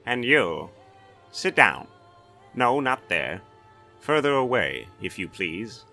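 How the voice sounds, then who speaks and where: A man's voice: medium pitch, sing-song, slow pace, vocal fry, bright, forceful tone, faint music behind, pitched above the performer's own voice, exaggerated voice. A man voicing a blustering, sardonic, authoritative castellan in a fantasy audiobook. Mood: commanding, scornful. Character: blustering, weary-wry male castellan of a fantasy city.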